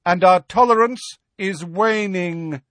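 A man voicing a stern male centaur leader who speaks calmly and smoothly in formal, dignified phrases. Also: medium pitch, measured pace, no room sound, pitched above the performer's own voice. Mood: warning. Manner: calm, smooth, solemn, formal.

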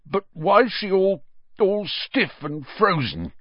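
A man's voice: high-pitched, huge pitch swings, takes it slowly, breathy edge, no echo, lo-fi sound, pitched above the performer's own voice, full character voice. A man voicing a bitter, spiteful old caretaker in an audiobook, choked up and snarling in distress. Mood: distraught.

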